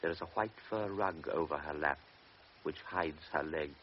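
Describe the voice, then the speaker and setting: Low male narrator, measured old radio drama storyteller. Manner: measured, present-tense storytelling. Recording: dull old radio recording with faint hiss.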